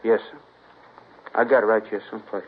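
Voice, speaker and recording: A man's voice: fairly low pitch, flat delivery, a little fast, a little hiss or hum, close to an everyday voice. A man playing a nervous, evasive young suspect from New Orleans, speaking with a hesitant Southern drawl in a 1950s radio drama. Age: young adult. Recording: old lo-fi radio broadcast, husky.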